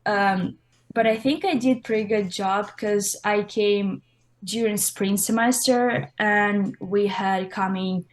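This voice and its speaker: A woman's voice: medium pitch, fairly even intonation, slow. A young woman from Russia with a Russian accent, giving casual, loosely phrased interview answers as a college swimmer. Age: young adult. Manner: informal, loosely phrased, frequent "like".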